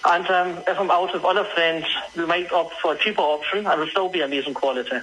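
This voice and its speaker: A man with a fairly high, bright voice speaks as an expert guest on a radio phone-in. He explains things casually over a call with faint noise. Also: steady tone, fast talker, poor audio. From Germany, Scottish family. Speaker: radio guest, freelance wine consultant and sommelier. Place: remote call to a radio show.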